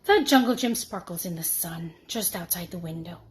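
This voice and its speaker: A woman reading a children's storybook aloud in an expressive, deliberate preschool-teacher manner. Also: lowish voice. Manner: animated, deliberate.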